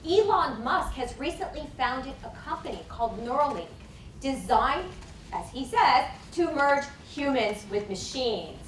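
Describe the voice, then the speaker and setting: A woman's voice: high-pitched, big pitch swings. Woman lecturing in an echoey hall. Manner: emphatic, deliberate lecturing. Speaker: conference speaker. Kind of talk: academic lecture. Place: conference hall. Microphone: lapel mic. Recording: echoey hall from far off, faint noise.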